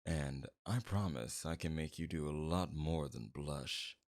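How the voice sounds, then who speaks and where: A man playing a smug, flirtatious and menacing mob boss in an ASMR roleplay, with a suave, teasing delivery and a gravelly voice. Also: extremely low pitch, darkish tone. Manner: teasing, suave, drawn-out flirtation with veiled threats. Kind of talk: ASMR roleplay, scripted character acting.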